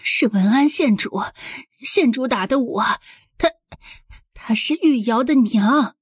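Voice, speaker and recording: A man's voice: extremely high pitch, slow, husky, dry recording, clean studio sound, pitched above the performer's own voice, exaggerated voice. A man voicing a distressed woman of a wealthy family in an audiobook, with a strained, emotional delivery. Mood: panicked. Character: distressed women of a wealthy family in ancient China. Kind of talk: audiobook character acting.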